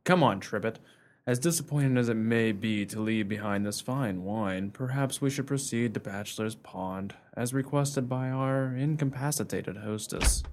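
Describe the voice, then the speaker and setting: A man's voice: mid-range voice, moderate pace, slight background noise, close to an everyday voice. A man acting a callous, swaggering military captain in a radio play, pompous and mocking in period speech. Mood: dismissive, unhurried.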